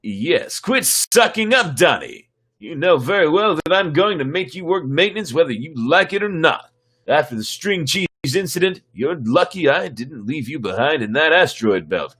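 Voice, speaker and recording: A man's voice: fairly high pitch, very animated, moderate pace, fairly bright tone, breathy edge, exaggerated voice. A man playing a stern, sardonic starship captain with a dry, commanding manner in a sci-fi story reading.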